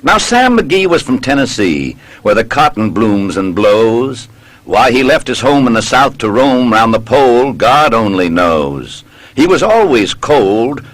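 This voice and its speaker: A man recites narrative verse at a slow, deliberate pace. His delivery is dramatic and story-driven, with line endings stretched out. The sound is dry and close, with very little room. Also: lowish voice, no room sound. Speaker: poem reader.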